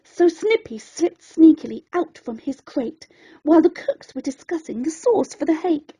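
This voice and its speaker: A woman reading a rhyming children's bedtime story in a dramatic, expressive way. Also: sits high, moderate pace, low-quality recording.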